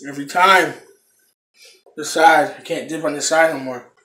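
A man's voice: on the high side, fairly even intonation, moderate pace, sharp tone, reverberant, a little hiss or hum, low-quality recording. A young man casually reviewing a product for his viewers from a small room at home, swearing often in a laid-back way. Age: young adult. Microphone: phone camera close up.

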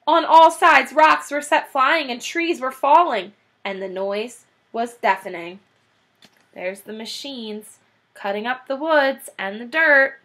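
A woman in her thirties reading a children's book aloud in an animated, dramatic way. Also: a little high. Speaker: children's story reader. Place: small indoor room, classroom-like decor.

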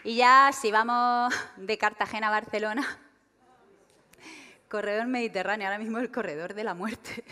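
Murcian woman comic on a big stage. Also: medium pitch. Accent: Murcian Spanish. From Region of Murcia, Spain. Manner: animated, mocking, quotes herself and her son in quick asides. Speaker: stand-up comedian. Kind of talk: stand-up comedy monologue. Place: large theater stage with live audience. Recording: long reverb of a large hall.

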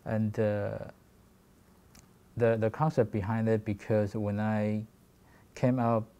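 A man in his forties from Taiwan with a Taiwanese accent, giving a halting talk about his work with many fillers and restarts. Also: fairly low pitch, flat delivery, mellow, clear, resonant tone. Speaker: guest photographer. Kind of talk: presentation talk.

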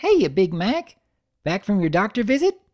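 A man's voice: high-pitched, big pitch swings, moderate pace, a little mellow, voice pushed up. A man voicing a cheerful, eager young schoolgirl pony in a story reading. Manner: chirpy, folksy.

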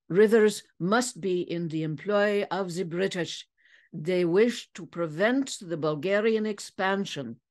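A woman's voice: medium pitch, voice pushed up, lightly acted. A woman voicing a self-assured male sleuth in a storybook, declaiming his deductions with confidence.

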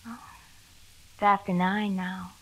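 A woman playing a gentle, wistful, lonesome young woman, soft-spoken and plaintive, in a 1940s radio drama. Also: medium pitch, flat delivery, takes it slowly.

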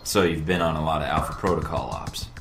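An actor playing a cool, wisecracking young secret agent in a video game, casual and flippant. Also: male voice, very deep voice, fairly quick. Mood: casual, probing. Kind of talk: video game dialogue acting. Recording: roomy echo with music underneath.

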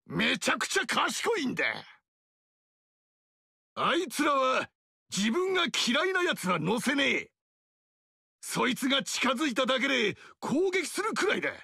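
Loud rough-talking barbarian king, raspy and gravelly, swinging all over. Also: big pitch swings, unhurried, long pauses, dry recording, studio quality, exaggerated voice. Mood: explaining. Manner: rough, rowdy, booming laugh. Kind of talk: video game voice acting.

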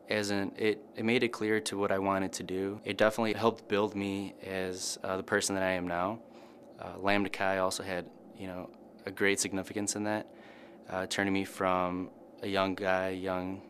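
A man's voice: low-pitched, little pitch movement, normal speed, fairly bright tone, a little hiss or hum. A young man, a college student, giving an interview testimonial. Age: young adult.

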